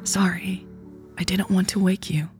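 A woman voicing a tender, secretive woman in an audiobook, speaking softly and breathily in a gentle, apologetic, pleading manner. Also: fairly low pitch, level tone, measured pace, over music, lightly acted. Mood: hushed apology. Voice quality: soft, breathy, half-whispered. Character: Elle, tender secretive woman leaving her lover at night. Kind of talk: audiobook character dialogue.

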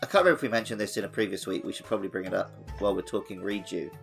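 Man, lowish voice, podcast co-host, chatty, joking, casual game talk, faint music underneath. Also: rapid-fire, creaky. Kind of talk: casual video game discussion.

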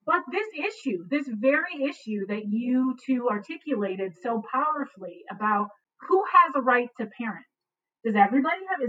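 A woman's voice: a little high, distant mic, a little hiss or hum. A woman in her forties, an adoptee and writer, speaking earnestly in a panel conversation and often adding "you know" and "right". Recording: tinny phone-like line.

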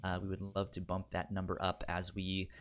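Man, lower-pitched voice, stutter on word starts, repeated syllables, halting delivery, casual podcast co-host. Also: completely flat delivery, a bit bright.

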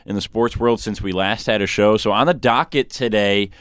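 Smooth, low-voiced young male sports host. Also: moderate pace, fairly bright tone, no room sound, studio quality. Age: young adult. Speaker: sports talk show host. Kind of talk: casual sports radio talk.

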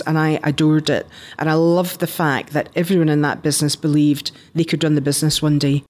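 Scottish woman in her fifties. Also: deep voice. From Scotland. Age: 50s. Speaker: interview guest, business founder. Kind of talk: conversational career storytelling.

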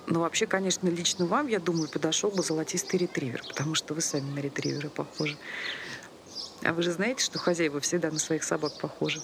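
A woman's voice: deep voice, steady tone, quick pace. A woman playing a nosy, preachy dog lover who chats and scolds in a stage play. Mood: chatty, eager. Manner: chatty, preachy, reproachful. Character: nosy, pushy dog-loving woman in a park. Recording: noisy stage recording with ambient sound.

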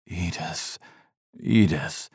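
Man reading an anguished doctor husband, low and strained. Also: slow, a little mellow, breathy edge, dry recording, clean studio sound, exaggerated voice. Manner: strained, groaning, restraining.

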